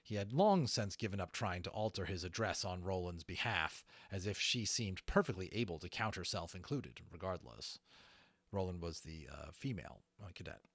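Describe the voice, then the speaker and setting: A man reads a story aloud as an amateur audiobook narrator. His voice sits fairly low and moves with very lively intonation. The sound is dry. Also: normal speed, breathy edge, no echo. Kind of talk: animated storybook narration with informal asides.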